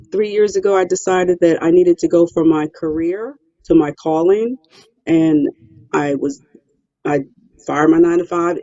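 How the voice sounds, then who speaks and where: Casting: middle-aged woman from Philly, warm and mellow, confident interview guest, dull remote audio. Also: on the low side, no echo. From Philadelphia, Pennsylvania. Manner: emphatic, self-assured, repeats words. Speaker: guest, real estate investor. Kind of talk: interview answers.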